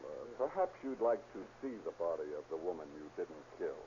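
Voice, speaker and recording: An actor playing a shrewd, sardonic police captain who questions with dry irony in 1930s radio drama style. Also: male voice, very deep voice, big pitch swings, fairly quick, warm tone. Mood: accusing. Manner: probing, dryly ironic questioning. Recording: scratchy, muffled 1930s radio recording, hiss.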